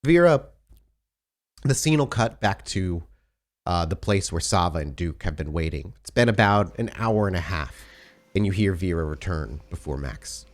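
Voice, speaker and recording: A man with a fairly low voice narrates a tabletop roleplaying game as storyteller. He improvises scenes and fields player questions conversationally. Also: lively intonation, moderate pace.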